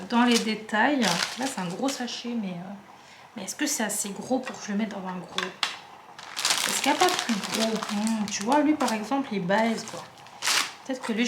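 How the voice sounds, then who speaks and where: A woman's voice: on the high side, echoey room, background noise. A woman in her twenties from the Paris suburbs, chatting casually and rambling while she sorts her craft supplies at home. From Paris suburbs, France. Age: 20s. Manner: chatty, rambling, self-interrupting. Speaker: craft vlogger.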